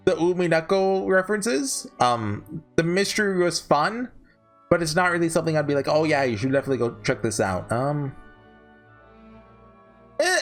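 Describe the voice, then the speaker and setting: A man hosting a casual, unscripted ranking video, talking in a rambling, halting way and often correcting himself. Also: high voice, a bit bright. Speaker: video host ranking visual novels. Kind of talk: casual unscripted tier-list commentary.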